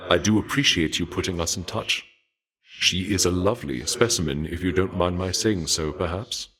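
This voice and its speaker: A man voicing a courteous, regal god of war in a video game, speaking formally in archaic, measured phrases with a dark fascination for death. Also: low voice, rough recording. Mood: polite, admiring. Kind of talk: scripted video game character dialogue.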